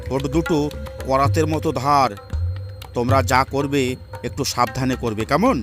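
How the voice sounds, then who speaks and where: Man voicing fairy-tale cartoon king, mid-pitched, commanding yet fretful, theatrical delivery, music behind. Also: moderate pace, fairly bright tone, close to an everyday voice.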